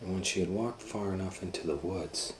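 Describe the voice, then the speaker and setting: A man's voice: sits low, brisk, a little hiss or hum, poor audio. A man hosting storytime, reading a children's book aloud in an informal, dramatic way with ad-libbed asides. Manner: informal, dramatic, ad-libs around the text. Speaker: host and storybook reader.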